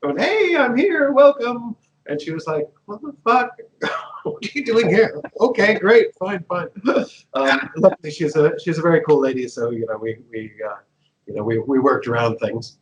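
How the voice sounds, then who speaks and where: A man's voice: on the high side, expressive, moderate pace, highs cut off. Chatty American man telling stories. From United States. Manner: chatty, laughs often, many fillers and restarts. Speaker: guest. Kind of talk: casual interview storytelling.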